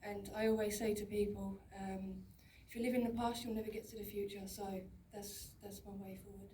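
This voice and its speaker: A woman's voice: medium pitch, flat delivery, brisk, noisy background. A young woman, an actress, answering audience questions on stage in plain-spoken, down-to-earth words. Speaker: lead actress at a film Q&A. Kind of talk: candid personal answers on stage. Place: large cinema auditorium, on stage. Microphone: handheld mic through the hall speakers, filmed from the audience. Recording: echoey hall, heard from far off.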